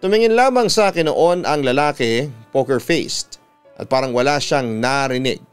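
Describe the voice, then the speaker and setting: A Filipino man narrating a listener's letter in the first person like a radio storyteller, in measured, deliberate phrases. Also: medium pitch, lively intonation, smooth. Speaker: radio announcer and storytelling host. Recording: music playing behind the voice. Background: instrumental music.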